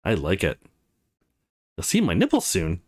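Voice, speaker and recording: A man voicing a brash, teasing, excitable young woman in a visual novel, with a bratty, self-assured manner. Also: low voice, a little slow, breathy edge, voice pushed down, heavily acted. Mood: playful, boastful.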